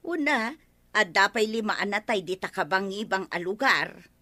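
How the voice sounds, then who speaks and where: A woman's voice: medium pitch, animated, normal speed, sharp tone. A woman with an Ilocano accent playing a flustered village fee collector who keeps protesting, in an Ilocano radio comedy drama.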